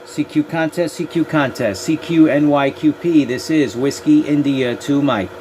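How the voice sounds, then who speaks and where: A man's voice: a little high, normal speed. A man working as an amateur radio contest operator, making clipped, procedural calls in phonetic alphabet. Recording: loud radio static and noise.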